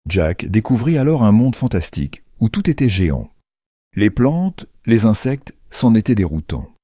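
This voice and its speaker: A male narrator tells a children's tale in a deep, mellow voice. His delivery is animated and playful, with wide swings of intonation. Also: moderate pace, breathy edge, no echo, clean studio sound. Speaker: storyteller, narrator.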